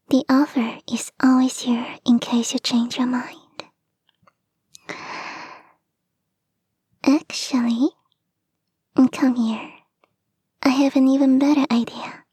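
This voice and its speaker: A woman playing a clingy, teasing fox spirit girlfriend in an intimate ASMR roleplay, speaking in a soft, breathy whisper. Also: high-pitched, no echo, studio quality, exaggerated voice. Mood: playful, coaxing. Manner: coy, doting, playfully teasing. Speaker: voice actor in a roleplay.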